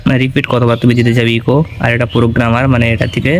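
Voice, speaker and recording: Fast-talking man. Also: mid-range voice, monotone, a little hiss or hum. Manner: explanatory, often checks the listener follows with tag questions. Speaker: audio gear reviewer. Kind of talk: informal product walkthrough.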